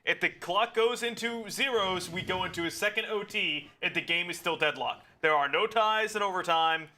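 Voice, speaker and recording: A man co-hosting a live football watch party, calmly explaining game situations and strategy. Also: high voice, moderate pace, slight background noise. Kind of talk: casual football analysis on a livestream.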